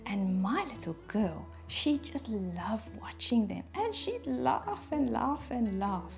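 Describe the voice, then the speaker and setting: A middle-aged woman from South Africa with a South African accent, gently telling young children about her home country in a preschool lesson. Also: a little high, big pitch swings, faint music behind. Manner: gentle, slow and clear, speaking to small children. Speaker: preschool staff guest presenter. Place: indoor studio set at a desk.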